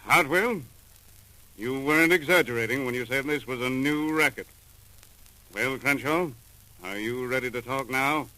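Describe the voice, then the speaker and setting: A forceful, bright-voiced police chief played by a male actor in 1940s radio crime drama style. He is brisk and commanding, used to giving orders and grilling crooks. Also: medium pitch, natural voice, poor audio. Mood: stern, pressing.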